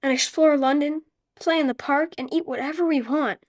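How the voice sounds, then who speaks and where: Brief: actress playing a bright young boy, high voice, dry close sound. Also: fairly even intonation, a little fast, no echo, lightly acted. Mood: eager. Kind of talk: radio drama acting.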